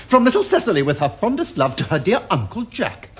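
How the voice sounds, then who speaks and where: An actor playing a witty, teasing young gentleman with an upper-class English accent, in a theatrical period comedy. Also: high voice, huge pitch swings, brisk, exaggerated voice. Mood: teasing, insistent. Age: young adult. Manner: theatrical, epigrammatic, crisp upper-class phrasing. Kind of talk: period stage comedy acting. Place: furnished studio drawing-room set.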